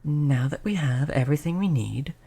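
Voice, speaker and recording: A performer as a youthful vampire, mid-pitched, courteous, chatty, reassuring, intimate roleplay addressed to the listener. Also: animated, moderate pace, warm tone, husky. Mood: attentive, reassuring. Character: polite vampire turned young, stuck looking like a child. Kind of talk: audio roleplay spoken to the listener.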